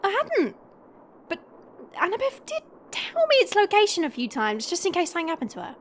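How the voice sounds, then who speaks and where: A woman's voice: very high-pitched, wildly swooping pitch, a little fast, a little hiss or hum, full character voice. A woman playing a cheeky, chatty young monster girl in an audio roleplay, playful, teasing and theatrical. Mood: explaining, subdued.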